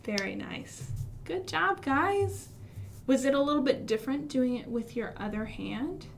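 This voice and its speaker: An American woman hosting an interactive storytime for young children, asking questions and speaking in an expressive, encouraging way. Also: high-pitched, a little slow, vocal fry, a little hiss or hum. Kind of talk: interactive children's storytime with songs and finger rhymes. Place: live online stream for a library.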